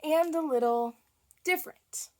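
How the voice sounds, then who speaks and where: A woman reading picture books aloud to young children as a storytime host, with an expressive, deliberate delivery that leans on key words. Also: high voice. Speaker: children's librarian hosting a storytime.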